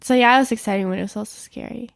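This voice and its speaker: A woman's voice: mid-range voice, rapid-fire, vocal fry, no echo, studio quality. A young woman from German-speaking Switzerland, whose first language is Swiss German, co-hosting a podcast in casual, chatty talk with frequent laughs. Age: young adult. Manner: chatty, laughs while talking, many fillers. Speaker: podcast co-host. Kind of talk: casual chat.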